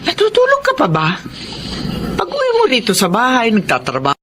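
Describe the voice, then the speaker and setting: A fretful, caring middle-aged Filipino mother in a radio drama. Her pitch swings widely, and there is noticeable noise behind her. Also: huge pitch swings, fairly quick. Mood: worried.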